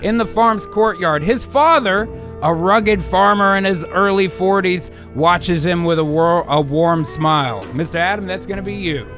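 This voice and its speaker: A man with a high voice works as host and narrator. He moves between irreverent, casual chat and reading scene directions aloud, with faint music underneath. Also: normal speed, fairly bright tone. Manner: irreverent, sweary.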